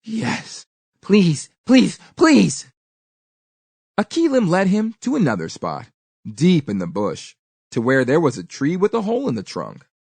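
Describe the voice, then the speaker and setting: Animated woman storyteller reading aloud. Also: deep voice, moderate pace, husky, dry recording. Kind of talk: expressive scripted children's story narration.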